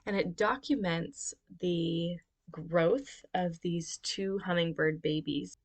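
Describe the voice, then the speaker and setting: A homeschooling mother talking casually on her vlog in a measured, reflective and articulate way. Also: fairly low pitch. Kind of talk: casual educational vlog talk.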